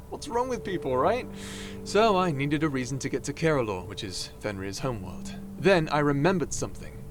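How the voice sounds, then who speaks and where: Casting: male actor for excitable, cheeky adventurer in an audio roleplay. Fairly high, very lively pitch, theatrical, stammering, over music. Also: moderate pace. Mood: chatty, cheeky. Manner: stammering, animated, theatrical, jokey. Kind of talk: ASMR roleplay audio drama.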